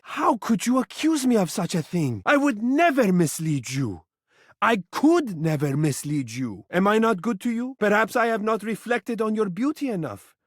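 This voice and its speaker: An actor playing a vain, tender living mirror in a video game, speaking in dramatic, florid, formal and affectionate phrases. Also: male voice, high voice, no echo, clean studio sound, heavily acted. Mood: wounded, confused. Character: vain, tender, theatrical living mirror, adult male suitor. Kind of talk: video game character voice acting.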